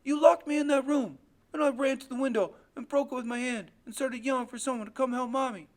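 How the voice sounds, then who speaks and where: A man's voice: sits high, sing-song, a little fast. A man voicing a desperate, frightened boy in an audiobook, pleading and accusing in raised outbursts. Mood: urgent, accusing. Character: desperate, frightened boy confronting his murderous father. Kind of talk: audiobook character voice.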